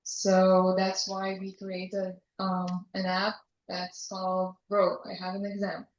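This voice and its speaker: Slow, flat woman. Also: mid-range voice. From Lithuania. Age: young adult. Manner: addresses the audience directly. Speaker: student presenter. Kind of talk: conference presentation. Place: conference hall. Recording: echoey hall, muffled mic.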